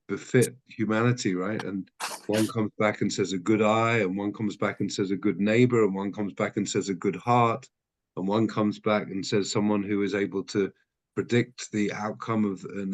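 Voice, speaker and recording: A man with a fairly low voice leads an adult study class. He talks in a halting way, full of restarts and self-corrections, as he works through an idea out loud. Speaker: class teacher. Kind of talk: teaching a text study class.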